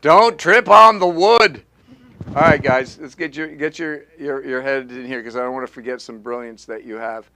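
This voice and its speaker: A man's voice: mid-range voice, normal speed, sharp tone, slight background noise. A man teaching a live acting class as the coach, talking in an emphatic, repetitive way and drilling the students to repeat key phrases. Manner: emphatic, repetitive, punchy call-and-response teaching.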